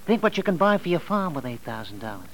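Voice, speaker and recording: Fight manager: American, urgent, wheedling, high-pitched, 1940s radio play. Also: male voice, big pitch swings, fast, poor audio. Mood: excited, coaxing. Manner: urgent, coaxing. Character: excitable, pushy male prizefight manager. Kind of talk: 1940s radio drama acting.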